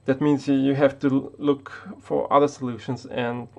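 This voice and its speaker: Hesitant Austrian man with a gravelly voice. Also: medium pitch, flat delivery, moderate pace, darkish tone, lo-fi sound. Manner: hesitant, many restarts and fillers. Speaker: tech YouTuber. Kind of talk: unscripted talk to camera.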